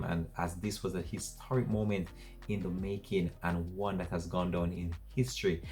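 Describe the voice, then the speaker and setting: A man hosting an entertainment news show, wrapping up the story in a steady, repetitive presenter delivery. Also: deep voice. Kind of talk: scripted news wrap-up.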